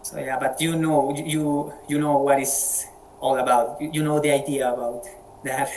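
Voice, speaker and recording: Colombian man moderating, halting and repetitive. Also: medium pitch, little pitch movement, a little mellow, a little hiss or hum, low-quality recording. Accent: Colombian Spanish. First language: Spanish. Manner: friendly, halting with frequent word repeats. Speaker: meeting moderator. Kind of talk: casual online group chat. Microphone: video call.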